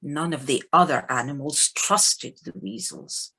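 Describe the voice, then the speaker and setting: A woman narrates a storybook tale slowly. Her voice is slightly high and husky, with lively swings in pitch. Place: living room at home, recorded over video call.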